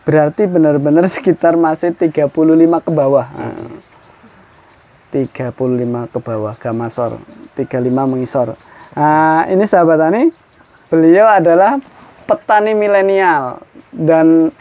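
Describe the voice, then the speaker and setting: A man's voice: on the high side, normal speed, dark tone. A man, hosting a casual farm interview in a greenhouse, chatty and full of leading questions. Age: 30s. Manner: chatty, friendly, asks many leading questions. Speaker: podcast host, agricultural extension worker. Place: outdoor greenhouse on a hillside.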